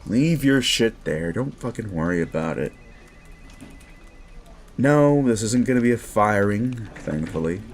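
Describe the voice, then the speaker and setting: A man's voice: fairly low pitch, lively intonation, measured pace, long pauses. A man playing a smug, sleazy werewolf boss in an audio roleplay, talking down to the listener in a mocking, patronizing drawl. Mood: condescending. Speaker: office boss.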